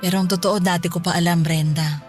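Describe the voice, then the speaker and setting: A woman's voice: fairly low pitch, fairly even intonation, quick pace, a little mellow, faint music behind, close to an everyday voice. A woman playing a sly young mistress in a Filipino radio drama, feigning innocence with a smug, teasing manner. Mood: smug, defiant.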